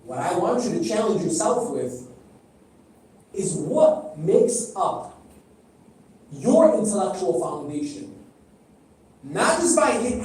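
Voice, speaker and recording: A man's voice: high voice, darkish tone. A middle-aged man whose first language is Arabic, preaching a sermon and speaking directly to the audience, asking them questions and repeating phrases for emphasis. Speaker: speaker at a religious gathering. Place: large hall. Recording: echoey hall, heard from a distance.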